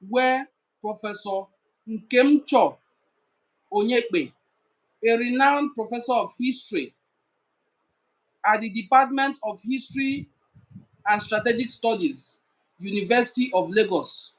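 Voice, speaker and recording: Nigerian man, fairly high voice for a man, emphatic explainer who repeats and lists points. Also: a bit bright, poor audio. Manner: repetitive, emphatic listing. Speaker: cultural commentator. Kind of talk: educational talk to camera.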